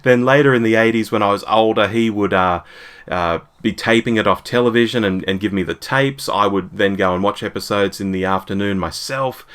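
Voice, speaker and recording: A man's voice: on the low side, a little fast, fairly bright tone, clean tone. A man in his forties talking casually as a podcast guest in an interview. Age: 40s.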